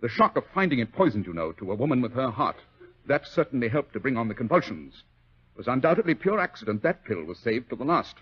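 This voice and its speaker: A shrewd, coolly analytical detective, his husky voice swinging expressively as he probes. He is precise, commanding and wry, in a radio play heard on a muffled vintage recording. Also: mid-range voice, sing-song, fast talker. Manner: precise, incisive, questioning, wry. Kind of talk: old-time radio drama acting.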